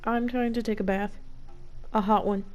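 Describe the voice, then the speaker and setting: A woman's voice: mid-range voice, steady tone, normal speed, a little mellow, lo-fi sound. A woman giving casual gameplay commentary, playful and slangy, reacting in short quips. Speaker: gameplay streamer. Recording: faint game music behind.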